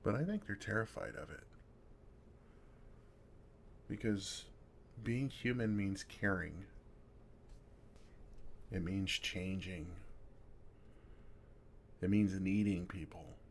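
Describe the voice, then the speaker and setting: A man playing a kind, wry older vampire who comforts a fledgling in a soft-spoken, reassuring ASMR roleplay, gently teasing with pauses. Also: low voice, gravelly, a little hiss or hum, heavily acted. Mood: earnest, firm comfort. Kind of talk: intimate ASMR roleplay, second-person comforting.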